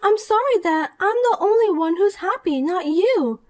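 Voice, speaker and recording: Woman voicing Poppy, a high whiny schemer. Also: animated, normal speed, a little mellow. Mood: smug. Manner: whiny, accusing, self-pitying. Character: shallow selfish jealous young woman. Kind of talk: dramatized story dialogue.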